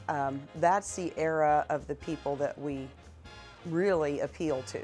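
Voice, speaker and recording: A woman cheerfully describing her radio theater group in an interview. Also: deep voice.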